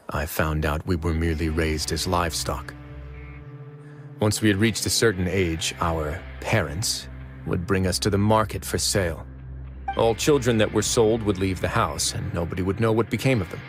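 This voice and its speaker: An actor playing a calm, composed male prison warden in a game, speaking in a low, measured voice. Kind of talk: game voice acting.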